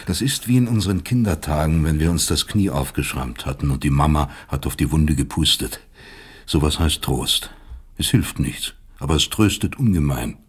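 An actor playing a bitter, resigned middle-aged professor in a radio play, lecturing in a measured, sardonic way. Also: male voice, rumbling low, lively intonation, slightly rough, full character voice. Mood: sardonic consolation. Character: disgraced, bitter male professor, philosophical and resigned. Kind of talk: German radio play acting.

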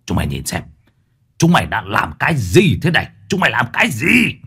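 A man's voice: lowish voice, huge pitch swings, normal speed, fairly bright tone, breathy edge, full character voice. Man voicing snarling older boat boss. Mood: menacing. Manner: snarling, threatening, cursing.

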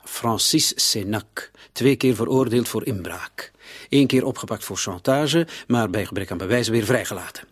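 An actor playing a shrewd, authoritative police commissioner in a radio drama, deliberate and commanding. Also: male voice, fairly low pitch, husky. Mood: brisk, commanding. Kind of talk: radio drama acting in dialogue.

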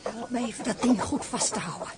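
An actress playing a brisk, commanding farm mother in a radio drama. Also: fairly high pitch, background noise, close to an everyday voice. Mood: firm, commanding.

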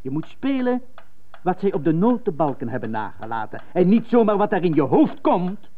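An actress in a radio drama playing a strict, condescending piano teacher who lectures emphatically. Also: female voice, lowish voice, sing-song, moderate pace. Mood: indignant. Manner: emphatic, lecturing, repeats for effect. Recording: thin phone-like radio tape.

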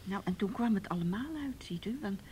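A woman acting in a radio play as a timid, flustered, chatty neighbourhood lady with a hesitant, confiding, breathy delivery. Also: mid-range voice, animated, fairly quick, warm tone, slight background noise, close to an everyday voice. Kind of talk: naturalistic Dutch radio play dialogue.

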